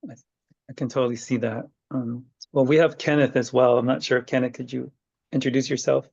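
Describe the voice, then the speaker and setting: Gravelly-voiced man on a dull line. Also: mid-range voice, flat delivery, a little fast, a little mellow. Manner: hesitant, searching for words. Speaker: interviewer. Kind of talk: oral history interview. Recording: dull lo-fi sound.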